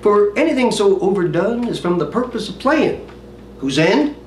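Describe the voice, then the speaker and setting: An earnest, brooding prince played by a man declaims Shakespeare in a fairly high male voice. The delivery is emphatic, with weighty pauses. It sounds roomy and set back from the microphone. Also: sing-song, soft background music. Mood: earnest, impassioned. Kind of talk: dramatic Shakespearean stage monologue. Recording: roomy, distant mic, faint noise.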